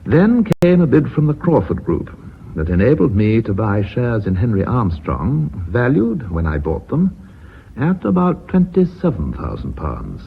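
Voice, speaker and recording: An actor playing a blunt, authoritative company chairman in a radio drama, with an English accent and formal, measured, courteous speech. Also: medium pitch, huge pitch swings, creaky, heavily acted. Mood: firm, reasoning. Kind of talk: BBC radio drama acting. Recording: muffled phone-like old radio recording, hiss.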